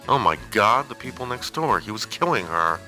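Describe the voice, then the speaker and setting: A man's voice: fairly low pitch, very animated, normal speed, music in the background, rough recording. A man giving an informal, rambling personal monologue with a hesitant, digressive and wry way of talking. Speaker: storyteller on an audio zine.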